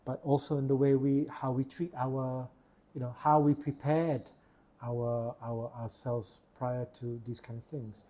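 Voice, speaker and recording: A Malaysian-accented man from Sarawak talks through a relaxed podcast conversation. His voice is husky and dark, and he often stumbles and repeats words as he gathers his thoughts. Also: medium pitch, a little slow. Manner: hesitant, repeats words while searching for phrasing. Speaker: podcast host. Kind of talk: casual chat.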